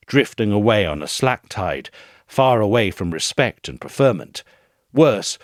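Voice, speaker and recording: A man narrating a literary essay from a script in a measured, articulate and expressive way. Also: fairly low pitch, no room sound, studio quality. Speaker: narrator reading an essay aloud.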